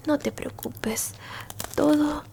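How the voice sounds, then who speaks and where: Woman as elderly alien grandma, ASMR roleplay, creaky breathy whisper, fairly high pitch, gentle, consoling, bookish, faint noise behind. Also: exaggerated voice. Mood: soothing, solemn. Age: older. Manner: slow, soothing whispering with soft murmured hums and pauses. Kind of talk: Spanish-language ASMR roleplay, mostly whispered.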